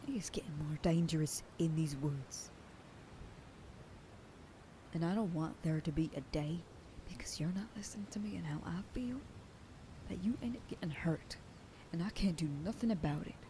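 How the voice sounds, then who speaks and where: A woman playing a protective werewolf sheriff girlfriend in an ASMR roleplay, scolding the listener and dropping into soft whispers. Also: sits low, moderate pace, pauses often, noisy background. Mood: tender. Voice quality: soft whisper in quieter passages. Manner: scolding, then softening into tender whispers. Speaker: voice actor in an audio roleplay.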